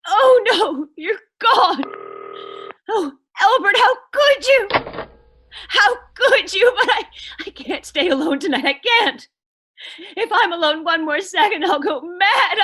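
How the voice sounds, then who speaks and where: A very high, piercing voice for a hysterical, demanding wife left alone at night. She stammers and pleads melodramatically, drawing her words out slowly, in 1940s suspense radio style. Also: lively intonation, sharp tone, exaggerated voice. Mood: distraught.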